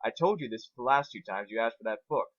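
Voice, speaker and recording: A man's voice: mid-range voice, expressive, quick pace, no echo, close to an everyday voice. A long-suffering young male dragon as a man reads him, explaining and complaining in wordy, weary fashion. The intonation moves around a lot. The story is recorded close and dry, a bit lo-fi. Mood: put-upon. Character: put-upon, deadpan young male dragon sidekick.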